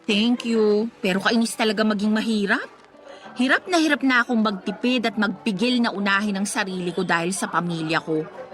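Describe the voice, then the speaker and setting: A woman's voice: mid-range voice, normal speed, close to an everyday voice. An actress playing a feisty young working woman with a Filipino accent, chatty and slangy in a radio drama. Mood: exasperated venting. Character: feisty, exasperated young working woman venting about family. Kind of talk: Tagalog radio drama acting. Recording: music behind the voice. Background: background music.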